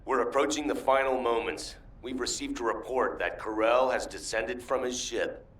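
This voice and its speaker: An actor playing a steady, resolute front-line commander reporting in a video game. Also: male voice, mid-range voice, moderate pace, far from the mic, lightly acted, low-quality recording. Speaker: military commander.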